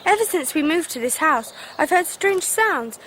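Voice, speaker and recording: A very high, animated young girl's voice with an upper-class English accent. She is earnest, questioning and anxious, a headstrong child of a Victorian radio drama. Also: normal speed, a little hiss or hum, low-quality recording. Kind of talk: 1990s radio drama acting.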